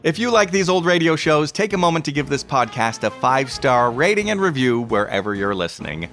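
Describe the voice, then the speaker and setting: A man's voice: mid-range voice, sing-song, fairly quick, a bit bright, faint music behind. A man hosting a podcast with scripted, explanatory narration. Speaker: podcast host.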